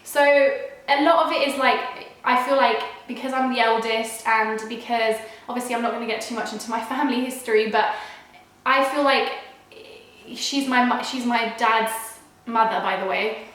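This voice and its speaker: A young woman chatting to camera at home with a nasal, under-the-weather sound, her voice sitting a little high. She rambles casually, repeating words and restarting sentences. The room around her is very echoey. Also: fairly even intonation, moderate pace, fairly bright tone. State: unwell with a cold. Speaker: vlogger.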